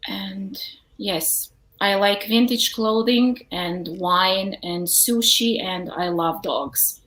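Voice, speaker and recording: A woman who teaches tango, introducing herself on a panel in a relaxed way, with fillers. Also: mid-range voice, slow. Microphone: video call. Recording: echoey room on a video call.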